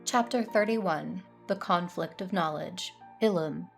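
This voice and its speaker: Woman as a creaky-voiced, reserved Jedi narrator. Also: on the low side, unhurried, faint music behind. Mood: serious, troubled. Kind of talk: first-person audio drama narration and dialogue.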